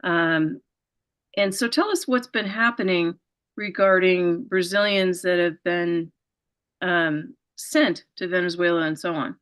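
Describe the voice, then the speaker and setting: A woman's voice: on the low side, unhurried, a bit bright, clear, resonant tone. A woman hosting an interview show, giving an earnest, rambling setup with many fillers before her questions. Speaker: show host.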